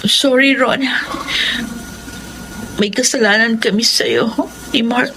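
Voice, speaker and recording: A woman's voice: fairly high pitch, slow, bright, forceful tone, poor audio. A woman playing an anxious, guilty young woman, pleading and apologetic in a Filipino radio drama. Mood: guilty, sorry. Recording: clear background noise and ambience.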